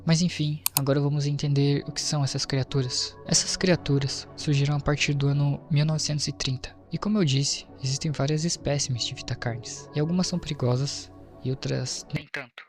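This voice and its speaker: A man's voice: on the high side, steady tone, brisk. A man with a Brazilian accent narrating a scripted explainer in an informal storytelling way, with casual asides. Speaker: video narrator. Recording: music behind the voice. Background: background music.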